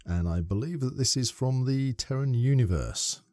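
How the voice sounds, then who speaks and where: A man co-hosting a podcast in casual chat, animated and playful, reading a synopsis aloud. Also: mid-range voice, moderate pace, no room sound, clean studio sound. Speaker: podcast co-host.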